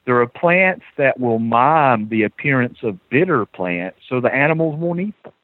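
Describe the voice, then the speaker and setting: A man's voice: medium pitch, animated, normal speed, no room sound. A man with a Southern US accent, a guest author in a casual podcast chat, who talks animatedly and chuckles as he tells stories. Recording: tinny phone-line audio.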